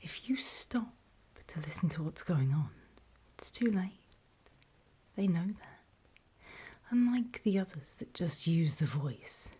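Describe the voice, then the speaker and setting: A woman playing a wary, paranoid zombie apocalypse survivor in a horror ASMR roleplay, in a soft breathy whisper with hesitant, confiding delivery. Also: fairly low pitch, animated, full character voice. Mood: hushed, wary warning. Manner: slow, hesitant, confiding, with pauses.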